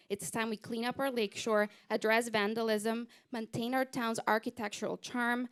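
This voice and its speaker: A woman from Guatemala giving an energetic, personal campaign speech as a council candidate in a community rec center. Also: fairly high pitch. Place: community recreation center hall.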